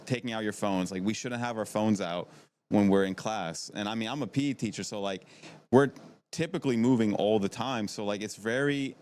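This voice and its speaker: Male guest in his twenties, slightly deep voice, conversational and wordy, circles back on his phrases, noise in the recording. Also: normal speed, darkish tone. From from Maryland, United States. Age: 20s. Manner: conversational, rambling, repeats himself. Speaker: podcast guest, middle school PE teacher. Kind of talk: casual chat. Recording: noticeable background noise.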